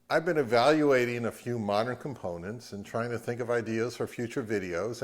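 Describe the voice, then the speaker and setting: Male reviewer and host, mid-range voice, delivering scripted narration for a gear channel. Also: medium pitch.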